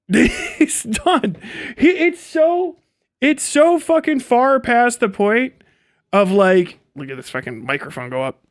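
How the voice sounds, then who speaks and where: A man's voice: very high voice, big pitch swings, takes it slowly, no echo. A man hosting a casual livestream, chatting and ranting bluntly with frequent heavy swearing, close on the mic. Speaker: livestream host and content creator. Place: indoor home streaming setup. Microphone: close studio microphone.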